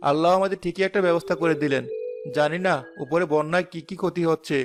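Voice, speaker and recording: Cartoon role: male actor as humble, God-fearing villager, brisk earnest speech, light music behind. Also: on the high side, fairly even intonation, lightly acted. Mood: hopeful, grateful. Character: poor, devout, hardworking male villager. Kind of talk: animated moral story voice acting.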